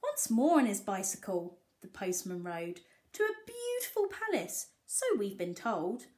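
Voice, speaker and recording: A woman reads a picture book as a teacher would to small children. Her delivery swings widely in pitch around a middling register. She is expressive and coaxes her listeners with questions. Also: measured pace. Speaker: early years teacher reading to young children. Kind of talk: animated picture-book read-aloud with asides.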